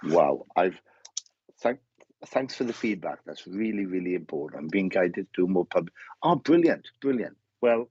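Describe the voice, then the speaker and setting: A man with a low, husky voice giving a live medium reading. He talks haltingly, doubling back and repeating phrases as he goes. The sound is dry and a little dull, like a lo-fi stream. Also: very animated, a little mellow, no echo. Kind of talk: live online spirit reading.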